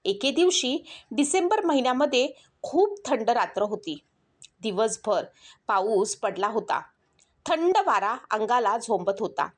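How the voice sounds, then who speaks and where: A woman storyteller reading scripted narration of an emotional moral story in a measured, earnest manner. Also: fairly high pitch, sing-song.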